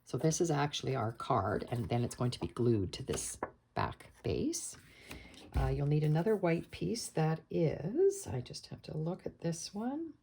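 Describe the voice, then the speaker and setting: A woman hosting a card-making tutorial, croaky and hoarse from a cold, chatting and explaining steps patiently. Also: low voice, very animated, unhurried, warm tone, a little hiss or hum. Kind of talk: casual crafting tutorial.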